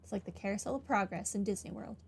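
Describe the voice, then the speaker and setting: A woman's voice: mid-range voice, fast talker, vocal fry, a little hiss or hum. A woman hosting a video game playthrough, thinking aloud in casual, conversational commentary. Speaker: gaming video host.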